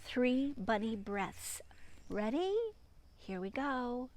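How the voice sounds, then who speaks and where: A woman teacher reading a picture book aloud and chatting to young children in an expressive, sing-song manner, with hushed asides. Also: a little high, takes it slowly.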